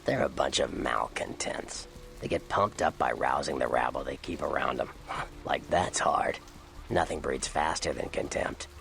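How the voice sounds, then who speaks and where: An actor voicing a cynical, sly Nosferatu vampire informant in a video game, with a gravelly American voice and a dry, sardonic manner. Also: male voice, a bass voice, normal speed, fairly bright tone, slight background noise, full character voice. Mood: scornful. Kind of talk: video game character dialogue.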